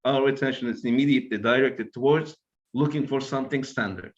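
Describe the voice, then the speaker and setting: A man's voice: mid-range voice, a little fast. Turkish-accented man lecturing, lo-fi sound. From Turkey. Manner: explanatory, tags points with "right?". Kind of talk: academic lecture.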